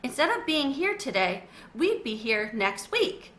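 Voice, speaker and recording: A woman voicing a cheerful male classroom hamster who talks in eager squeaks. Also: big pitch swings, measured pace, fairly bright tone, echoey room. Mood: excited.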